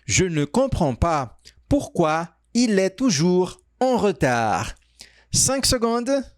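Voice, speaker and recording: A man teaching French, reading quiz sentences and answer options aloud slowly and carefully. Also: high voice, sing-song, no room sound, studio quality. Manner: reads sentences slowly, stretched out, pauses on options. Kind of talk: slow, clearly spoken quiz reading.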